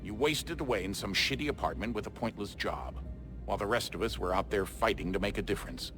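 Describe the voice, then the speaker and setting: A man's voice: lowish voice, lively intonation, fast talker, over music, close to an everyday voice. An actor playing a stern, demanding middle-aged father in a video game, curt and cutting. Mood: cold, scornful. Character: stern, demanding, scornful father of a grown son. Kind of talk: naturalistic video game acting.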